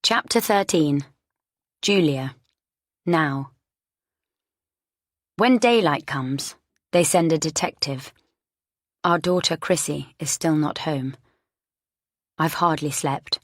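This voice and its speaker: Lowish female audiobook narrator, very dry sound. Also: slow pace, pauses often, dry recording. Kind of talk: scripted first-person thriller narration.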